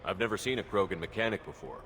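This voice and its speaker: Very low male voice, fast delivery, businesslike commander, video game dialogue, echoing hall. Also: animated, fairly bright tone, a little hiss or hum. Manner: direct, matter-of-fact. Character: steady, businesslike human male space commander. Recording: echoey hall with long reverb.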